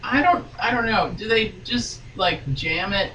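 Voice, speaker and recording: Animated, theatrical woman in echoey room. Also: medium pitch, measured pace. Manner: theatrical, joking. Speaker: guest reader. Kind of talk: dramatic reading with playful casual asides. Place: sewing room, indoors. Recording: echoey room, distant mic, clear noise.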